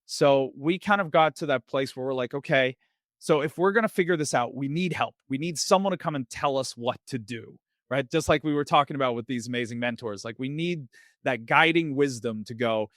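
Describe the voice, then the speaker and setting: A man from Sweden with an American accent tells his story as a podcast guest. He acts out old conversations with a lively, animated delivery. The recording is close and dry, with no room sound. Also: mid-range voice, no room sound. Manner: animated, acts out both sides of past conversations.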